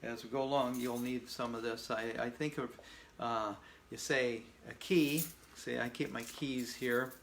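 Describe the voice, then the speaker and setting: A man with a voice of ordinary pitch talks through a beginner Spanish lesson in a relaxed, folksy way. He is a native English speaker, patient with his learners, and keeps checking in with them. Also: a little hiss or hum. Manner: patient, folksy, frequent "okay?" and "uh" fillers. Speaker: Spanish teacher. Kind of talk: casual spoken language lesson.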